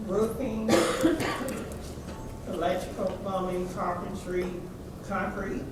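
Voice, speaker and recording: A woman in the audience, heard from far across an echoey conference room, gives short, halting answers in a lowish, slow voice. Steady background noise surrounds her. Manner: short, halting replies, spells things out. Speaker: audience member. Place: seat in a conference room, away from the mic.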